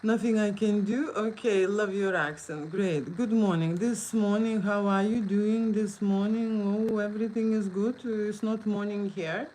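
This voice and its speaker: A woman's voice: medium pitch, steady tone, measured pace, hardly any pauses, mellow, a little hiss or hum, low-quality recording. A woman with a Lithuanian accent chatting casually on a livestream, answering viewers' questions and often adding "okay?" to her sentences. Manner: chatty, frequent "okay?" tags, non-native phrasing. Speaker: photographer hosting a live Q&A. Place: indoor studio. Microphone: phone.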